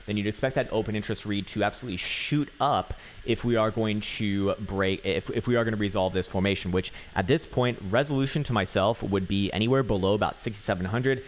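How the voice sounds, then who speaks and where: A raspy-voiced man giving casual, rambling chart analysis, swearing now and then and full of fillers and restarts. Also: fairly low pitch, slight background noise. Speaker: market analyst.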